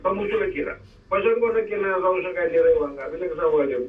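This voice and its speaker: A man's voice: high-pitched, quick pace, recorded from a distance, slight background noise. A man calling in to a radio talk show, explaining at length over a phone line. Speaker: caller. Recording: tinny phone line, echoey.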